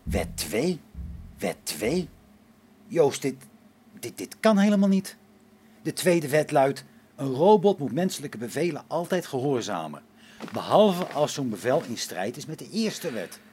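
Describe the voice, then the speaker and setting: An actor playing a sarcastic, exasperated space station engineer in a radio play, with a biting manner. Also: male voice, medium pitch, big pitch swings, a little slow, a little mellow, slight background noise. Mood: indignant disbelief.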